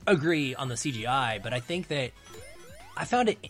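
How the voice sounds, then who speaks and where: A man's voice: medium pitch, big pitch swings, moderate pace, fairly bright tone, faint music behind. A man appearing as a podcast guest, discussing films casually in an earnest, explanatory way.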